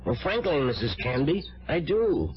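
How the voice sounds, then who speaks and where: An actor playing an ingratiating, evasive lodger with a chest cold and cough, hesitant and persuasive, in an old-time radio drama. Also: male voice, a little high, sing-song, moderate pace, soft background music. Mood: reassuring. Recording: dull vintage radio broadcast.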